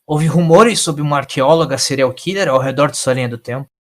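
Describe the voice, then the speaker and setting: An indignant old time lord from an audio story, acted out by a male narrator, scolding everyone around him. He is loud and forceful, with sarcastic questions pitched above an ordinary speaking voice. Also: fairly quick, natural voice. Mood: accusing, indignant. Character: furious accusing old male alien time traveler.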